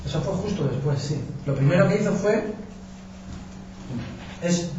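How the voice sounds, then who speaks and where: A journalist from giving an informal talk to an audience, colloquial and anecdotal, with frequent restarts. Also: male voice, high voice, expressive, normal speed, a little mellow. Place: meeting room with audience. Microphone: distant room mic. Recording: echoey, distant, dull with noise.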